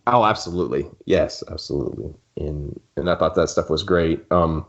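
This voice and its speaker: A man's voice: very deep voice, normal speed, muffled recording. A man co-hosting a podcast in a casual fan discussion, rambling and interrupting himself as he goes. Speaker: podcast co-host.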